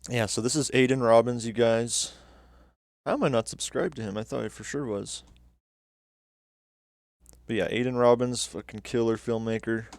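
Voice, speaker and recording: A man's voice: mid-range voice, steady tone, moderate pace, gravelly, pauses often, no room sound, clean studio sound. A young man hosting a livestream, chatting casually and cursing as he reacts to videos with viewers. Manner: laid-back, swears casually. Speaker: livestream host.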